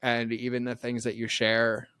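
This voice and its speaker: A man's voice: mid-range voice, monotone, normal speed, pushed and bright, smooth, dry recording. A middle-aged man talking earnestly and animatedly as a podcast guest, full of "like" fillers. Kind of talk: casual faith conversation.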